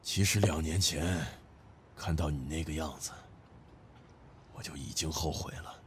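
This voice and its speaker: Man acting stern, very deep-voiced older father. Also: noisy background. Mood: regretful. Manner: measured, weighty, deliberate. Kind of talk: Chinese audio drama acting. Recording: background music under the dialogue.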